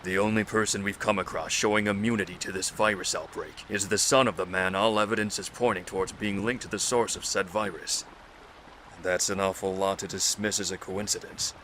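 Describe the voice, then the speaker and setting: Investigator, male actor, audio drama: lowish tense voice, impatient, insistent, formal phrasing, slight noise behind. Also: fairly even intonation, brisk, sharp tone. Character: stern, impatient male investigator with an injured wrist. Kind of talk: amateur audio drama acting.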